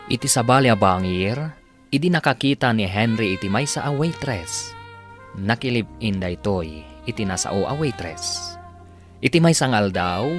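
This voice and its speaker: Male drama narrator, theatrical and emphatic, very lively intonation, music bed clearly behind. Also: fairly low pitch. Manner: theatrical, emphatic, drawn-out phrases. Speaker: radio drama narrator and announcer. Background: prominent music.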